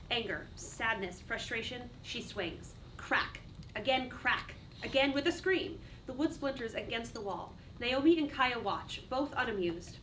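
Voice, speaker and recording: A woman's voice: fairly high pitch, fairly bright tone. Woman narrating a play, slightly distant. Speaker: narrator reading stage directions. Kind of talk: scripted dramatic narration. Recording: distant mic, faint background noise.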